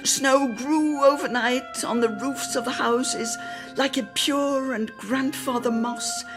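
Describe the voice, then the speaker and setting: Female dramatic reader for literary memoir: highish voice, unhurried, theatrical delivery with drawn-out phrases. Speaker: solo reader.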